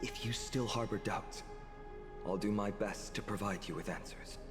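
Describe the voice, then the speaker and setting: A man's voice: lowish voice, normal speed, close to an everyday voice. A man voicing an earnest young swordsman hero. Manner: sincere, inviting. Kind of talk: game voice acting. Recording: game audio with long reverb over music.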